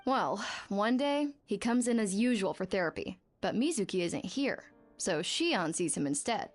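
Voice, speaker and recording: Actress as a brash, feisty young worker. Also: female voice, mid-range voice, expressive, normal speed, soft background music, lightly acted. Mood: exasperated. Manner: brash, impatient, grumbling. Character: blunt, feisty young female bathhouse worker. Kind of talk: video game voice acting.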